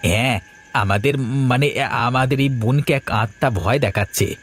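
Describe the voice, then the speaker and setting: A man's voice: mid-range voice, normal speed, a little hiss or hum, lightly acted. A man voicing a worried, earnest villager who explains things in a horror cartoon, with music behind him.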